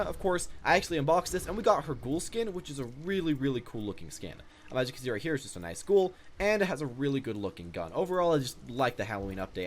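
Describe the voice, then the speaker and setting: A young man hosts a gaming video in a fairly high, very lively voice that moves along briskly. His commentary is casual and rambling, as if made up on the spot. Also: a little hiss or hum.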